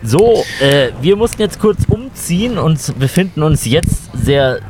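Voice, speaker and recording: A man's voice: a little high, big pitch swings, measured pace, over music. Man hosting a podcast outdoors, teasing. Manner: asks teasing questions. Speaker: podcast host. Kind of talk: casual podcast chat. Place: outdoors behind a van at night.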